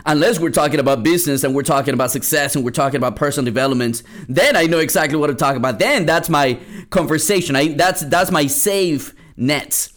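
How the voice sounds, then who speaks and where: A man's voice: on the high side, fast talker, fairly bright tone. Rambling man telling a story. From Peru. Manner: rambling, many restarts and fillers. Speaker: podcast host. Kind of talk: casual solo podcast storytelling.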